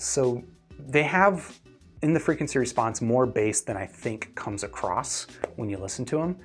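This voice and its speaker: A man giving gear opinions as a reviewer and host, chatting casually and thinking aloud as he answers viewers. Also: mid-range voice. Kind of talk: casual livestream chat answering viewer questions.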